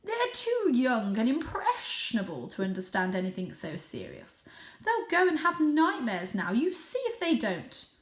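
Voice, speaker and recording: A woman's voice: on the high side, huge pitch swings, a little slow, echoey room, pitched above the performer's own voice. A woman voicing a fussy, easily scandalised mother cat in a storybook, with a tutting, scolding manner. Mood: disapproving.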